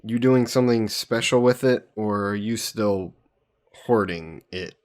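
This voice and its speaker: A man's voice: on the low side, darkish tone, natural voice. A young man playing an easygoing, teasing fantasy hero from Earth in a roleplay audio, chatting fondly to the listener. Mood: teasing, amused. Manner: unhurried, chatty, playfully teasing.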